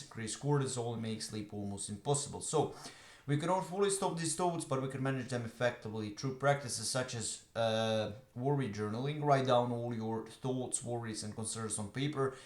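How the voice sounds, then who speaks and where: Croatian-accented young man, echoey room. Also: mid-range voice. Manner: earnest, many fillers. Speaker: online business coach. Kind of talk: motivational talk to camera. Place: room at home, evening.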